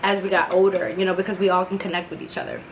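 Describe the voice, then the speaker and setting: A woman's voice: fairly low pitch, flat delivery, racing, background noise. A woman from the US East Coast, a podcast guest talking in a playful, rambling way. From DMV area, US East Coast. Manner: playful, rambling, laughs easily. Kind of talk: casual chat.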